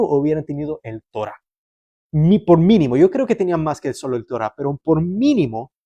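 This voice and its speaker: Higher-voiced man preaching, mellow tone. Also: no room sound, studio quality. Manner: earnest, explanatory, rhetorical questions and repetition for emphasis. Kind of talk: sermon, conversational storytelling.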